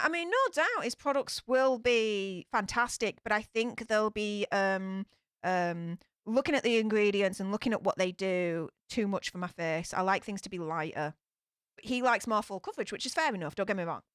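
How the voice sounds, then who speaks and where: A woman's voice: mid-range voice, a bit bright, clean tone, dry recording, clean studio sound. A woman with a broad Yorkshire accent hosting a casual live stream, reading out chat comments in a blunt, feisty way. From Yorkshire, England.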